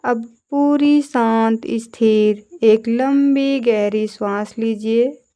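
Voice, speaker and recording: A woman leading a guided yoga nidra meditation as an instructor, calmly giving short, deliberate instructions. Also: on the high side.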